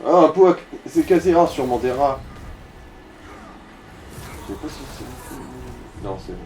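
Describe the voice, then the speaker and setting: A man's voice: mid-range voice, expressive, a little slow. A man giving casual Let's Play commentary, coaching another player in crude, slangy talk. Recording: roomy echo, distant mic, music. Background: game music.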